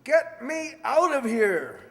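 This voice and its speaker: A man's voice: very high voice, sing-song, slow, fairly bright tone, heavily acted. A man playing an outraged vicar calling out for rescue in an amateur radio play. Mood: outraged, desperate. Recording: reverberant, distant-sounding radio play recording.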